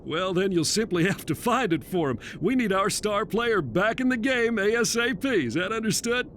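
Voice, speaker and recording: A man's voice: sits high, expressive, moderate pace, a bit bright, a little hiss or hum. A man playing a middle-aged, authoritative president, a protective father with commanding, folksy bluster. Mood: stern, gruffly impressed.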